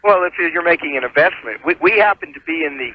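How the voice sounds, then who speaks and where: A male attorney explains things methodically on a radio call-in show. His voice is a bit higher than average and his wording is formal. It comes through muffled, like a phone call on an old broadcast. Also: animated, fast, background noise. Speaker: attorney calling in as legal adviser. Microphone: telephone.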